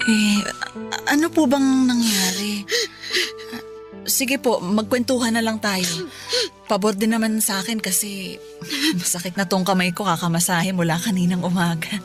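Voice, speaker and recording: A woman's voice: mid-range voice, fairly even intonation, unhurried, pauses often, faint music behind, natural voice. A Filipino woman playing a polite, caring spa masseuse in a radio drama, chatty, deferential and reassuring. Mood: accommodating.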